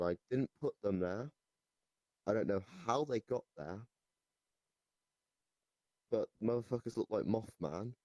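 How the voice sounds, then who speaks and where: A man playing a deranged goblin storyteller in an improvised comic interview, rambling haltingly and nonchalant about absurd claims. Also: sits low, mellow, no room sound, rough recording. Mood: nonchalant, boastful. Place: virtual reality voice chat.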